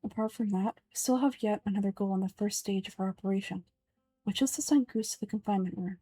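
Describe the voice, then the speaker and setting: A woman giving a measured, earnest dramatic reading of video game script as an amateur voice actor. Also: mid-range voice, warm tone, husky, faint music behind.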